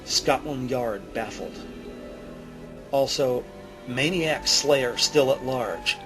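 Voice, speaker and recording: A man narrating an audio drama from a script in a deliberate, theatrical style. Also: medium pitch, poor audio. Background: music under the voice.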